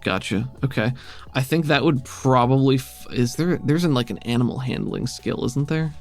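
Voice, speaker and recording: Man, game master, creaky voice, medium pitch, conversational, explanatory, music in background. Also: normal speed, darkish tone. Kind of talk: running a tabletop roleplaying game, conversational. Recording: music playing behind the voice.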